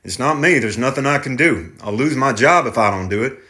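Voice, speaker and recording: A man's voice: medium pitch, lively intonation, a little fast, pushed and bright, pitched above the performer's own voice. A man reading aloud, voicing a terse, defensive young tractor driver in clipped working-class speech. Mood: blunt.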